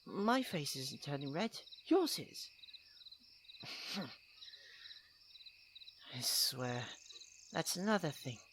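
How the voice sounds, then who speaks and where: Slow-spoken, proud young prince acted by a man. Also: on the high side, sing-song, noisy background, heavily acted. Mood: flustered, defensive. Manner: posh, halting, teasing, defensive. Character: proud, tsundere young prince, flustered and secretly tender. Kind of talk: ASMR roleplay acting.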